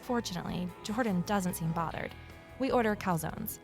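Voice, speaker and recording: A woman's voice: mid-range voice, normal speed, natural voice. Actress doing young woman sleuth telling her story, wry n natural, kinda stubborn, bit of music under it. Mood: reflective. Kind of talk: first-person audio drama narration and dialogue.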